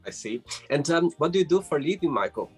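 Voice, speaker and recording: A man's voice: fairly high pitch, sing-song, fast, husky, soft background music. A man with a strong Brazilian accent, hosting an interview in an upbeat way with short praise. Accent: Brazilian Portuguese. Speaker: host.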